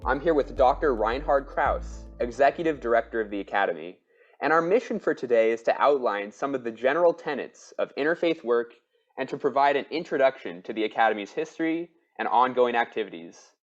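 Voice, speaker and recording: A man's voice: mid-range voice, expressive, a little fast, highs cut off, faint music behind. A man hosting a podcast interview who asks questions in a friendly, polite way with a few fillers. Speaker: podcast host.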